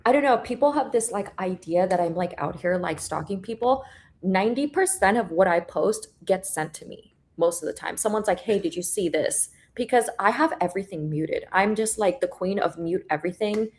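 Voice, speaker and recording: A woman's voice: mid-range voice, animated, normal speed. A young woman whose first language is Korean, talking bluntly and casually with lots of fillers and swearing on a live stream. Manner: blunt, profane, filler-heavy, laughs often. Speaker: guest trader. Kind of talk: casual chat.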